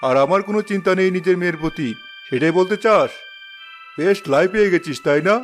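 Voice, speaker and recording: A man's voice: sits high, fast, soft background music, lightly acted. A man voicing a harsh, domineering, scolding father in a cartoon. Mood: angry, threatening. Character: tyrannical, scolding father of two children. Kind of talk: cartoon dub acting.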